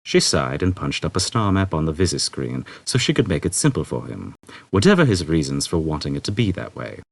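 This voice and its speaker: Male audiobook narrator, husky, mid-pitched, dramatic scripted read, dry sound. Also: big pitch swings, fairly quick, dry recording. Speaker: audiobook reader.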